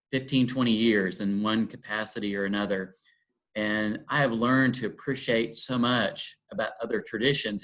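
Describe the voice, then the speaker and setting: A middle-aged man from Texas speaks in a thoughtful, unhurried way. He pauses with ums and circles back on his phrases as he works through an idea. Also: medium pitch, a bit bright, poor audio. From Texas, USA. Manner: thoughtful, many ums and restarts. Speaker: interfaith dialogue guest. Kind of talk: reflective conversation.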